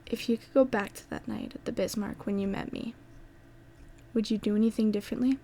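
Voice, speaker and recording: A woman's voice: mid-range voice, steady tone, fairly quick, dark tone, lightly acted. A woman playing a grieving but defiant young woman in an amateur audio drama, earnest and emotional. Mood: subdued.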